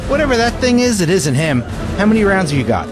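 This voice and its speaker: Man acting tense, high-pitched seasoned soldier. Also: big pitch swings, fast talker, music in the background. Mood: tense, urgent. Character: tense, protective seasoned WWII infantryman. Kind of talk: audio drama acting.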